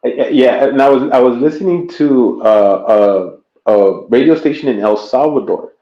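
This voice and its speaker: A man's voice: medium pitch, moderate pace. A man hosting a live stream in casual chat, rambling and restarting his sentences often. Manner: rambling, stammers and restarts, easy laugh. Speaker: streamer host. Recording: roomy, dull stream audio.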